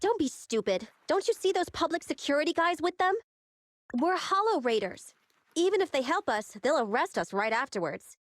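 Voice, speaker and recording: A woman playing a bossy, brash young gang leader in an animated game cutscene, speaking in a commanding, exasperated way. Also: high voice, normal speed, no echo. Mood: scolding.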